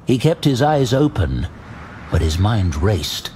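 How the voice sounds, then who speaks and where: A man narrating a fantasy audio drama in a measured, deliberate, suspenseful storytelling style. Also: on the low side, sing-song, warm tone, slight background noise. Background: music.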